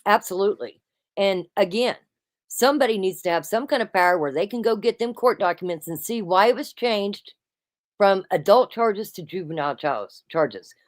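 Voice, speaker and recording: A woman hosting a casual chat, loud-voiced and emphatic, who keeps saying "absolutely" and "listen.". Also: medium pitch, lively intonation, moderate pace. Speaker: host.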